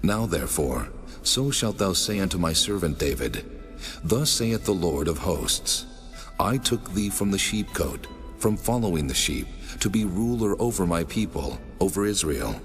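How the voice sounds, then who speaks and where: A man voicing the Lord God in a dramatized scripture reading, solemn, measured and authoritative. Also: sits low, lively intonation. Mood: solemn, commanding. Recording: long cavernous reverb, music underneath. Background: music.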